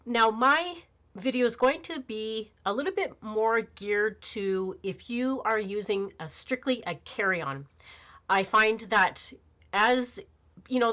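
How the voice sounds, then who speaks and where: A woman's voice: medium pitch, pushed and bright. A woman in her fifties with a slightly nasal voice from a cold, chatting casually on camera as a beauty vlogger, rambling with fillers and restarts. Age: 50s. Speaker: beauty YouTuber. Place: small indoor room, plain backdrop.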